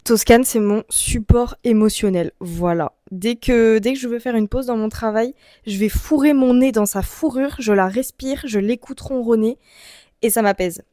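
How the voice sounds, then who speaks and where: A young woman talks to her vlog viewers in a fairly high voice. She rambles casually and uses plenty of slang, and the sound is dry with little room echo. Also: normal speed, dark tone. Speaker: vlogger.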